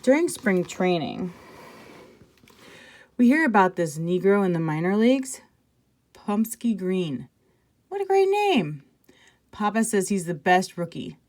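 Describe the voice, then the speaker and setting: A woman's voice: medium pitch, sing-song, a little slow, pauses often, a little mellow. A woman teacher reading a children's picture book aloud expressively, with casual asides to her listeners.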